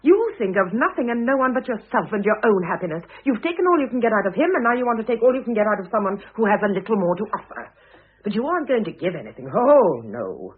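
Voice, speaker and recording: A dignified, scornful older woman in a vintage radio play. Her voice is husky and expressive, with cutting disdain. The sound is thin and band-limited, like an old broadcast. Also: mid-range voice, fast. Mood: bitter anger. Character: scornful, sharp-tongued older woman, dignified former wife. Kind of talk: classic stage-style radio drama acting. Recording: muffled narrow-band old radio recording.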